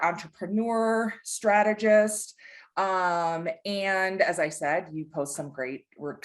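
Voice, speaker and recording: A woman hosting an interview podcast, encouraging her guest, with many fillers and restarts. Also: medium pitch. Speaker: podcast host.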